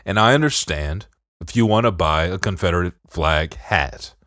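A man's voice: low-pitched, expressive, normal speed, a bit bright, no room sound, studio quality. A man from Detroit with a Detroit accent, co-hosting a casual podcast chat in a laid-back, profane way. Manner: laid-back, swears, repeats "bro". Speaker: podcast co-host.

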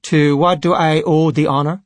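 Man as a calm, dryly polite cleric. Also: on the high side, moderate pace, no echo, lightly acted. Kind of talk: audiobook character voice.

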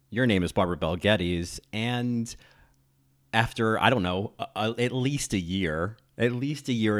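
A man in his thirties hosting a solo podcast, chatting in a rambling way with lots of ums and restarts. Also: mid-range voice. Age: 30s. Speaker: podcast host. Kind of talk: casual solo podcast chat.

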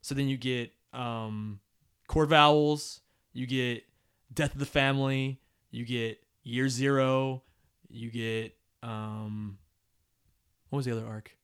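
A man's voice: medium pitch, steady tone, slow pace, a bit bright, clear, resonant tone. A man co-hosting a casual podcast, reading listener emails aloud and joking, often laughing. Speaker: podcast co-host.

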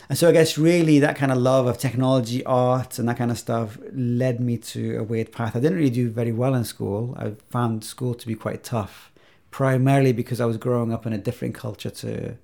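Podcast guest, man in his thirties from Iraq, warm gravelly low voice, thoughtful and hesitant. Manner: reflective, many fillers and restarts. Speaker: podcast guest, video game maker. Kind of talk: casual interview chat.

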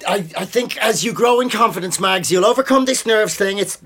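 A man's voice: high-pitched, sharp tone, exaggerated voice. A man acting in a radio drama as an awkward, encouraging poetry workshop teacher who stammers and repeats words. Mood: flustered, reassuring. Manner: halting, repeats words, placating.

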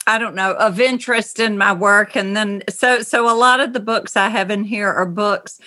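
A middle-aged woman from North Carolina with a Southern accent, speaking thoughtfully as an interview guest over a video call from her writing room, pausing and restarting often. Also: mid-range voice, steady tone, sharp tone. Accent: Southern American. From North Carolina, USA. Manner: slow, thoughtful, with many pauses and restarts. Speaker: novelist guest.

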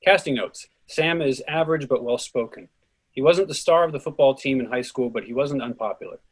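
Man, husky mid-pitched voice, scripted narration, stage directions reader. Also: a little fast.

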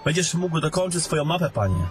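A man's voice: a little high, very animated, fairly quick. A man playing a dutiful young captain in a fantasy audio drama, speaking with polite, old-fashioned formality. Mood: dutiful, grateful. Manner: polite, formal, archaic courtesy. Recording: background music under the voice.

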